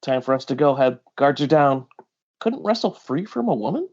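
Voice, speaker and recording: A man's voice: medium pitch, very animated, normal speed, very noisy. A man voicing a level-headed, commanding bounty hunter in a comic readalong, firm and urgent, in slightly dull audio.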